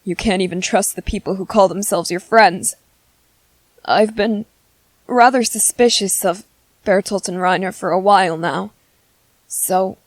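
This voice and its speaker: A woman voicing a gentle, shrewd teenage boy soldier in an intimate ASMR roleplay, speaking thoughtfully and hesitantly, as if quietly plotting. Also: mid-range voice, no echo, natural voice. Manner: hesitant, thoughtful, halting phrasing with soft ums. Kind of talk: ASMR character roleplay, intimate one-sided dialogue.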